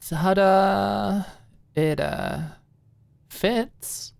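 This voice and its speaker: A woman's voice: medium pitch, drawn-out words, gravelly, a little mellow, no echo, pitched above the performer's own voice, full character voice. A woman voicing a dry, mock-dramatic young woman who hides her fluster, in an audiobook story. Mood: sheepish, nervous.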